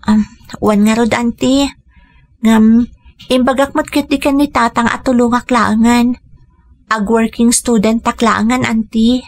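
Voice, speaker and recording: A woman's voice: fairly high pitch, fairly even intonation, a little slow. An actress playing a tender, hesitant, emotional young woman in a radio drama. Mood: humble, moved. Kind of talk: Ilocano radio drama acting.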